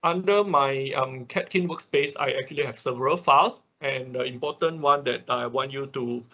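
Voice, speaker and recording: A man teaching an informal online software tutorial, explaining step by step in a halting way with frequent check-in tags. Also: mid-range voice, muffled recording. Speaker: instructor of an online robotics class.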